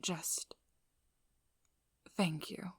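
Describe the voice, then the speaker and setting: A woman playing a composed, guarded female vampire hunter in an ASMR role-play, breathy and often whispering, with controlled, firm delivery. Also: dry recording, studio quality. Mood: softened, sincere. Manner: slow, soothing instructions, firm and controlled. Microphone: close ASMR microphone.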